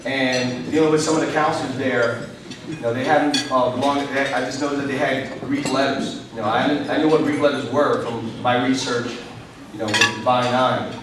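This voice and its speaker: A man's voice: mid-range voice, fairly even intonation, normal speed, background noise. A middle-aged man from New York giving a rambling, conversational keynote speech, full of "you know" fillers. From New York, United States. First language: English. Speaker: keynote speaker. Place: large convention hall. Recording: echoey hall from far off.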